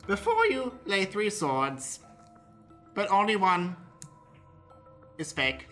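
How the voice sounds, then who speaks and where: A woman playing an awkward, mildly put-out troll in an improvised comedy radio play, talking casually and haltingly. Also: mid-range voice, sing-song, fairly bright tone. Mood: quizzing, ceremonious. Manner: casual, halting, repeats words, odd swoops. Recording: music behind. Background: faint music.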